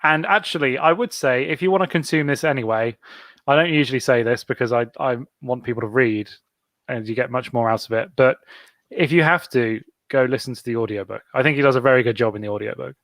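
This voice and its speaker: A man's voice: mid-range voice, a little fast, a bit bright, dry recording. A man hosting a casual book club podcast, steering the talk and laughing often. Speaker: podcast host.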